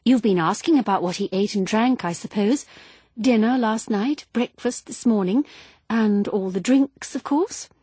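A woman voicing an excitable, prying, plump middle-aged woman in a storybook reading. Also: medium pitch, measured pace, breathy edge, dry recording, voice pushed up. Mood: eager gossip. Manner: eager, breathless, prying.

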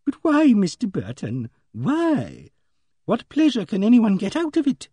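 Guy doing a prim fluttery old spinster lady in an audiobook, high voice, pious, hesitant, kinda agitated. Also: wildly swooping pitch, a little slow, mellow, slightly rough, no room sound, heavily acted. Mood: pained bewilderment. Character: genteel, fluttery, pious elderly spinster, easily shocked. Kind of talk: audiobook character dialogue.

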